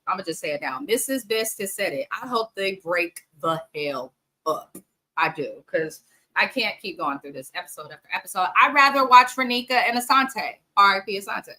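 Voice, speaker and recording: A woman's voice: medium pitch, sharp tone. A woman hosting a casual, frank reality TV recap, chatting straight to her viewers and sniffly from allergies. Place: home in the Bay Area.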